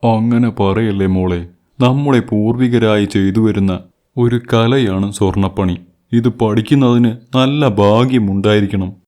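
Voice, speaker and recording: A man playing a gentle, patient, ageing goldsmith father in a children's story, speaking in a measured, advising way. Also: fairly low pitch, close to an everyday voice. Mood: patiently persuading. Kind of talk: scripted children's story acting.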